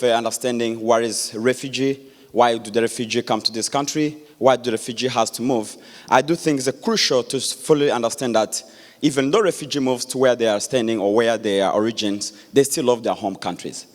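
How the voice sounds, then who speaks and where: Earnest man, echoey hall. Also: mid-range voice. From Democratic Republic of Congo. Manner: earnest, halting, with many fillers and restarts. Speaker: guest speaker. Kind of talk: public speech at an event.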